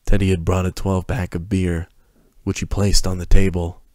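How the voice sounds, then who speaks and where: Low-voiced man narrating a story. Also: little pitch movement, normal speed, a little mellow, no echo, clean studio sound. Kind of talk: dramatic reading of prose fiction.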